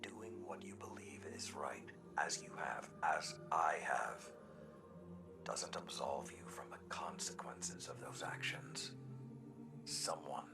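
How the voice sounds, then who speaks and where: A man playing a stoic, honor-bound older warrior in a video game, with a gravelly, solemn and deliberate delivery. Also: extremely low pitch, in a whisper, over music, exaggerated voice. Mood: stern, grave. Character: grizzled old warlord, stoic, honor-bound, battle-weary veteran. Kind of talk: dramatic video game acting.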